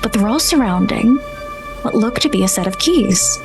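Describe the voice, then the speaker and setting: A woman runs a tabletop role-playing game as game master. She paints scenes in a descriptive storyteller's way, at a middling pitch, with music clearly playing underneath. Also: expressive, normal speed. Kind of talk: improvised tabletop game narration.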